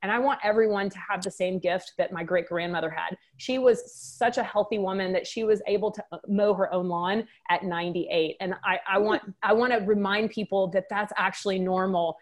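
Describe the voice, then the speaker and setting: A woman's voice: medium pitch, steady tone, fairly quick, hardly any pauses. Woman, Texan accent, chatty, upbeat, restarts often. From Texas. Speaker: med spa owner, guest. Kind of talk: casual interview.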